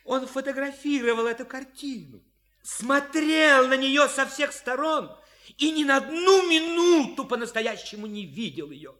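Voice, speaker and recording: Melodramatic, high-voiced oversensitive art lover. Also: male voice, expressive, a little slow, sharp tone, full character voice. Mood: wounded, pensive. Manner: melodramatic, earnest. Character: oversensitive, pompous male avant-garde art devotee, easily wounded. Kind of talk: theatrical comedy audio play acting.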